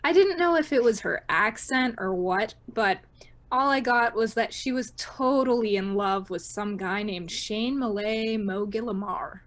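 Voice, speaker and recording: Slow-talking, deadpan young woman, acted. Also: on the high side. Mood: awkward. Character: Poppy, deadpan, awkwardly concerned college student. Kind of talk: radio drama acting.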